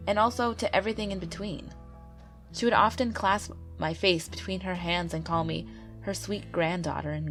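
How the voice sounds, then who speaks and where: A woman with a stuffy nose from a cold, gently and earnestly reading true ghost stories aloud as the narrator of her channel. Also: on the low side. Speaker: YouTube ghost story narrator. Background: faint background music.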